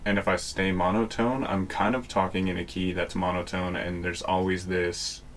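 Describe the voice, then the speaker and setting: A man's voice: deep voice, flat delivery, moderate pace, a little hiss or hum. A young man hosting a podcast, giving rambling, casual explanations and often searching for words. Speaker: podcast host. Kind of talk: casual chat.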